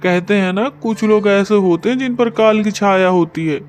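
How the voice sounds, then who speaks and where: A man's voice: high voice, normal speed, slight background noise, natural voice. A man playing a mean villager in a story who mocks others' misery in an accusing manner. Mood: spiteful. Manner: malicious, accusing.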